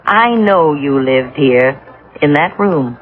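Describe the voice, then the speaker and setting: A woman's voice: low-pitched, lively intonation, slow. An actress playing an earnest, uneasy middle-aged married woman in an American radio drama, intense and a little hesitant. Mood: earnest, coaxing. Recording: muffled phone-like old radio broadcast.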